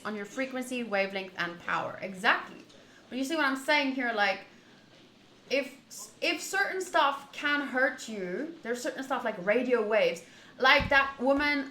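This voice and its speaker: Woman, bright forceful voice, rambling game streamer, reading and commenting, roomy echo. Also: on the high side. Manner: animated, rambling, thinking aloud. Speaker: streamer playing a visual novel. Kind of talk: reading game text aloud with casual commentary. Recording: roomy echo, faint background noise.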